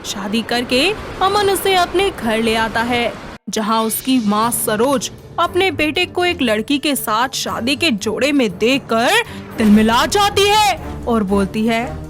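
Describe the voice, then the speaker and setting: A woman's voice: on the high side, very animated, takes it slowly, a bit bright, faint music behind. A woman narrator reading a scripted moral story.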